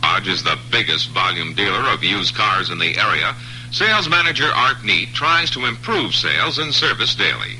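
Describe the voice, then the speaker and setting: A man's voice: on the low side, bright, forceful tone, poor audio. A man reading a radio commercial in an upbeat, persuasive sales style. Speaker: radio ad announcer. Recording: old radio broadcast with faint hum.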